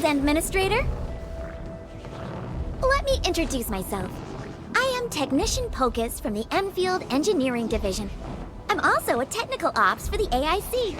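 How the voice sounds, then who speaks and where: A woman voicing a polite, eager engineering technician who gives instructions in a video game. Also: high voice. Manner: instructive, courteous. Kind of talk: scripted game tutorial dialogue. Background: game music.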